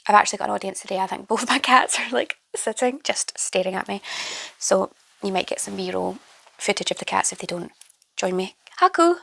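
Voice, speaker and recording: Young woman, croaky from a cold, gravelly fry, rambling book chat, lots of ums. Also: medium pitch, lively intonation, a little fast, fairly bright tone. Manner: chatty, rambling, many fillers and restarts. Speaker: book vlogger. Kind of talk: casual reading wrap-up chat.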